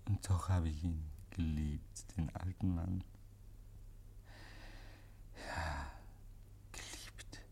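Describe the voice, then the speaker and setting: An actor playing a deranged, guilt-ridden murderer in 1950s German radio drama, halting and repeating himself, given to breathless whispers and shrieks. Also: male voice, sits low, steady tone, gravelly, dark tone, full character voice. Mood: haunted, insistent. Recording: noisy 1950s radio recording.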